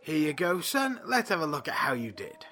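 Audio drama drill sergeant duck: brusque, sarcastic, higher-pitched bark, wildly animated, faint noise behind. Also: male voice, normal speed. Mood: brisk, gruff. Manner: barking, sarcastic military orders.

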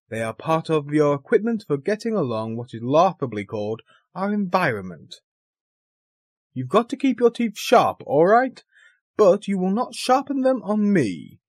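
Man acting a wry, lively, exasperated lover. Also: on the high side, no echo, clean studio sound. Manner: wry, emphatic, articulate. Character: exasperated, witty thirty-two-year-old lover of a stage star. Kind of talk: audio drama acting.

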